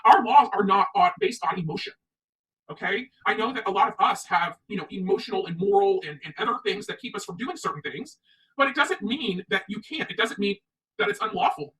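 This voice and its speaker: Bright-voiced, emphatic woman commentator, off-mic. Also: medium pitch, expressive, brisk, recorded from a distance, poor audio. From United States. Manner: emphatic, repeats points for stress. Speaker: online commentary show host. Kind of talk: opinionated livestream commentary.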